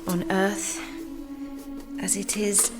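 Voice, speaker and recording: A woman's voice: medium pitch, flat delivery, drawn-out words, loud music behind the voice. An actress playing a solemn woman reciting a prayer in an audio drama. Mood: solemn, hushed. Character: solemn woman praying slowly.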